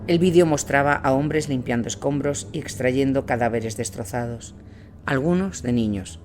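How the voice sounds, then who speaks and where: A man narrating a scripted audio drama as a war reporter, in a measured, solemn storytelling manner. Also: mid-range voice, a little mellow, a little hiss or hum, close to an everyday voice. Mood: grave, measured. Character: male war reporter recounting grim events in Iraq. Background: music bed.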